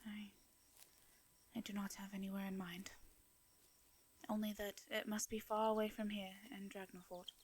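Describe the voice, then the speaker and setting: A woman with an English accent playing a gentle, courteous vampire in an intimate fantasy audio drama, speaking softly and breathily in formal, hesitant phrases. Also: mid-range voice, level tone, close to an everyday voice. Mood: earnest, wistful. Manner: soft breathy delivery, formal old-fashioned diction, hesitant pauses. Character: gentle, courteous female vampire, centuries-worn, wistful yet devious.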